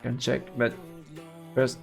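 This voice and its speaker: A man with a gravelly voice doing casual let's play commentary and reading game text aloud as he streams. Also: medium pitch, monotone, slow pace, a little mellow. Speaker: streamer playing a visual novel. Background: game music.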